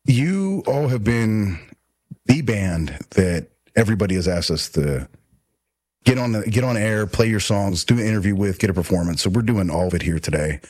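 A man's voice: fairly low pitch, animated, normal speed, a little mellow, no room sound. A man in his forties hosting a casual band interview in a living room, rambling and friendly with many restarts. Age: 40s. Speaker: podcast host.

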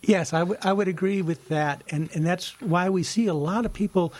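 A man's voice: high-pitched, moderate pace, a little mellow. A man explaining his ukulele club in a casual interview, eager, often restarting and repeating words.

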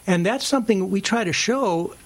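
A man in an interview, explaining his music club in a friendly, relaxed way. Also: high-pitched, normal speed. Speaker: ukulele club member. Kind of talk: casual interview chat.

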